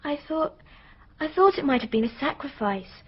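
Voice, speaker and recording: A woman's voice: sits high, expressive, moderate pace. An actress playing an earnest young girl witness in a radio drama, confiding what she knows in a hesitant way. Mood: innocent confiding. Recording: dull, muffled radio drama recording.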